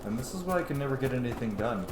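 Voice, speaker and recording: A man's voice: medium pitch, lively intonation, very fast, background noise. Chatty man, echoey game commentary. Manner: sweary, rambling, chatty. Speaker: gaming streamer. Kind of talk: casual let's play commentary. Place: small home room, indoors. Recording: echoey room with game music. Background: game music and sounds.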